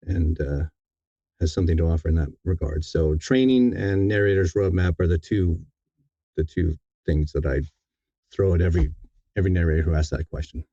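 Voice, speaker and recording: A man giving thoughtful interview answers in a halting, deliberate way, often repeating words as he thinks. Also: sits low, mellow, slightly rough, dry recording, muffled recording. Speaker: audiobook narrator, interview guest.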